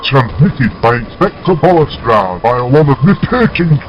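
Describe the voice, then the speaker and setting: A man's voice: medium pitch, big pitch swings, normal speed, lightly acted. A man playing a servile, grumbling patchwork henchman in a comic audio drama. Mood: muttering, fretful. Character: Igor, servile, fussy patchwork monster henchman. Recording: dull muffled sound with music behind.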